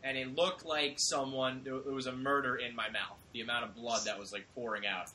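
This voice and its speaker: A man's voice: medium pitch, flat delivery, fairly quick, sharp tone, a little hiss or hum. A man hosting a podcast, teasing his co-hosts and steering a casual chat. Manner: teasing, wry, leads the talk. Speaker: podcast host.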